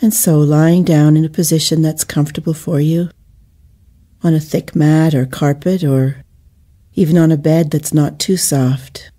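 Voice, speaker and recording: A woman leading a guided meditation in a soothing, measured manner with gentle pauses between phrases. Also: low-pitched, steady tone.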